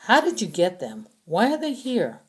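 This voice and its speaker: A woman's voice: medium pitch, wildly swooping pitch, normal speed, breathy edge, pitched above the performer's own voice. A woman narrator plays a male squad leader in an adventure audiobook. He is firm and protective, giving orders and questions with authority. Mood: commanding. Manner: firm, commanding.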